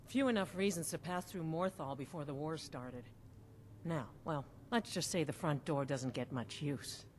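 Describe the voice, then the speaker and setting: A woman's voice: low-pitched, normal speed, a little hiss or hum, close to an everyday voice. Actress as a folksy, plain-spoken woman innkeeper. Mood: wry. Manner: folksy, frank.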